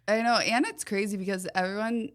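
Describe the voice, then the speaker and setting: A young woman with a slightly raspy voice hosting a casual podcast in a laid-back way. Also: medium pitch, a little fast. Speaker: podcast host. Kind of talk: casual chat.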